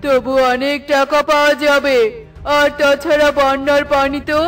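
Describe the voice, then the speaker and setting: A woman's voice: sits high, monotone, moderate pace, fairly bright tone. A woman voicing a stingy, dismissive bird chief of a cartoon forest, grumbling. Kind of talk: Bengali cartoon voice acting.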